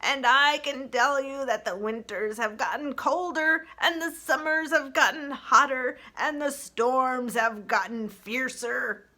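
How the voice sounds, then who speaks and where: A solemn, ancient male turtle from a storybook, played by a woman. He speaks very slowly and weightily, drawing out words in a raised, forceful voice. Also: sits high, pitched above the performer's own voice, exaggerated voice. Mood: grave. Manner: slow, weighty, drawn-out delivery. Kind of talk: children's storybook character voice.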